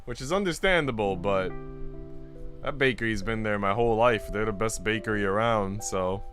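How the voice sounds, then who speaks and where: A man with a fairly low voice is streaming a visual novel read-aloud. He moves between story narration and loose, slangy talk with his viewers, while faint guitar music plays behind him. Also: normal speed, fairly bright tone. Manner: casual, slangy asides. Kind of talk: calm story read-aloud mixed with casual chat to viewers. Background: guitar and instrumental music.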